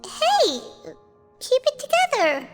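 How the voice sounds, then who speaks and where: A woman's voice: huge pitch swings, takes it slowly, exaggerated voice. A woman voicing a tiny, childlike, cheeky fairy companion in an English video game dub. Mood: alarmed. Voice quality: squeaky, childlike. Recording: loud music underneath.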